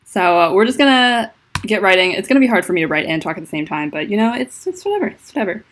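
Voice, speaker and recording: A woman with a deep voice and a lisp chats casually on a livestream from home, rambling and self-conscious, with lots of fillers. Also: sing-song, quick pace, a little hiss or hum. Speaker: livestreaming fanfiction writer. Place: at home indoors. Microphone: laptop mic.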